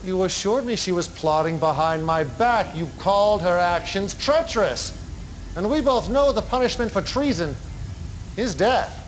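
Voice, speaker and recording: An actor playing a charismatic, menacing male cult leader in a game cutscene, speaking in a measured, commanding way. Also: high-pitched, lively intonation, slight background noise, rough recording. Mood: interrogating. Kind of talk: theatrical game cutscene acting.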